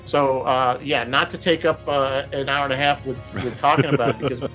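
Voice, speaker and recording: Halting man talking over background music. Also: mid-range voice, fairly even intonation, fairly bright tone, rough recording. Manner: halting, repeats words as he thinks aloud. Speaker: panelist in a radio roundtable. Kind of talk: casual discussion, explaining a TV series.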